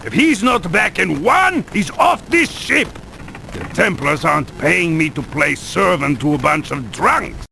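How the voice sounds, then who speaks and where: A rough, irascible pirate captain from a video game. The voice is high for a man, very gravelly and slow, full of swagger and scorn. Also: very animated, bright, forceful tone, slight background noise, full character voice. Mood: angry, scornful. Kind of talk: video game cutscene acting.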